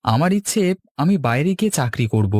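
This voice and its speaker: A man's voice: medium pitch, sing-song, brisk, darkish tone, dry recording, clean studio sound. A man voicing a polite, hesitant young village man in a cartoon, deferential and unsure. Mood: hesitant, respectful. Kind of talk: Bengali cartoon dubbing.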